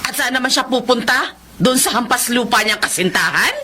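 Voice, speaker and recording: An actress playing a spiteful, domineering middle-aged mother with a scolding, sharp-tongued manner in a Filipino radio melodrama. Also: on the high side, expressive, normal speed. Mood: suspicious, menacing. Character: domineering, spiteful, money-minded mother, quick to rage. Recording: loud ambient noise behind voice.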